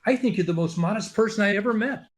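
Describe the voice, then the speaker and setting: An actor playing a naive, eager young male sidekick in 1940s radio comedy, with a high, husky voice. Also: quick pace.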